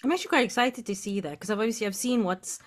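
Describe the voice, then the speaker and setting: A woman hosting a podcast in a casual chat with a guest, chatty and quick to laugh. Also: medium pitch. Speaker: podcast host.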